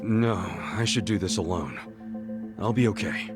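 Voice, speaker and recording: A man's voice: lowish voice, takes it slowly. An actor in an English game dub playing a brash, stubborn, loyal yakuza man who talks in casual slang. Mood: quiet resolve. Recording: music behind the voice.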